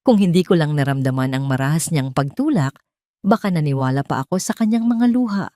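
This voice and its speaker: A woman's voice: low-pitched, expressive, a little fast, dark tone, no room sound, studio quality. A woman reading a scripted first-person story in a solemn, melodramatic way. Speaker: story narrator.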